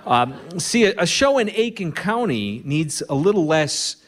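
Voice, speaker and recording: A man's voice: a little high, sing-song, unhurried. A middle-aged man with a Minnesota accent, hosting a live radio show from a barn with a dry, wry comic monologue. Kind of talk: humorous monologue to a live audience.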